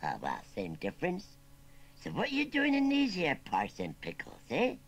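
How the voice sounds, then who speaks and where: A man voicing a rustic, sentimental old scarecrow uncle with a West Country accent, rambling and plaintive, in a raspy storybook voice. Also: on the high side, huge pitch swings, moderate pace, voice pushed up, exaggerated voice. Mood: fond, nosy. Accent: English West Country.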